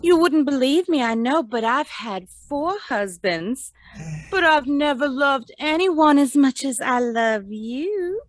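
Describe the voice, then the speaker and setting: Actress as gushing coquettish old flame, high voice, slow drawn-out cooing, radio comedy. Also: female voice, lively intonation. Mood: coy.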